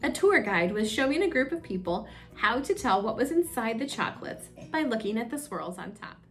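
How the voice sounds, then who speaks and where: Expressive higher-voiced woman reading a children's storybook. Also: moderate pace, soft background music. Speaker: children's story time reader. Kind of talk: read-aloud children's storybook narration.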